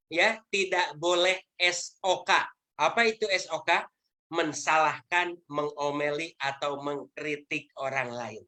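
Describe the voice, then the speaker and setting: Lower-voiced woman giving an emphatic lecture. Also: fairly bright tone. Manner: emphatic, lecturing, poses rhetorical questions. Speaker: network marketing trainer. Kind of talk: motivational training talk. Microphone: video call.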